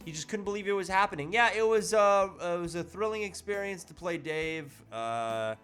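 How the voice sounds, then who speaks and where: Male comedy guest, slightly high pitch, casual halting delivery, mock-earnest, light music present. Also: a bit bright, clean tone. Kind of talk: casual chat.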